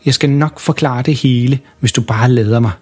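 A man voicing a gentle angel girl from heaven who speaks slowly, solemnly and formally. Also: medium pitch, breathy edge, faint music behind, lightly acted.

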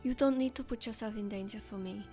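A man's voice: sits high, steady tone, fast, faint music behind, pitched above the performer's own voice. A man voicing a tired, kind young female dryad in a storybook reading. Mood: weary. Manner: soft, subdued.

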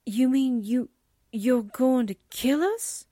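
A woman playing an excitable, naive but caring sidekick in a radio mystery drama, expressive and exclamatory. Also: high-pitched, slow, no echo, clean studio sound, heavily acted. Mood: startled.

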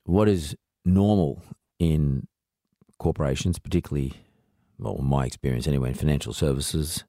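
Low-voiced Australian man hosting a podcast. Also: normal speed, mellow, no echo, studio quality. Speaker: podcast host. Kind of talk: conversational interview hosting.

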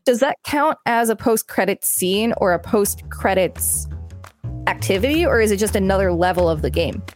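A woman's voice: mid-range voice, animated, normal speed, faint music behind. A woman co-hosting a podcast, reading an encyclopedia article aloud and adding casual asides. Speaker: podcast co-host.

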